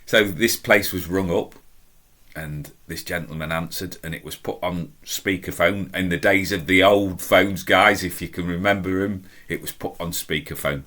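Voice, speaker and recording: A middle-aged man with a deep, strong voice, telling a personal story in a chatty, self-deprecating, emphatic way. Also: fairly even intonation, normal speed, pushed and bright. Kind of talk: casual anecdotal storytelling.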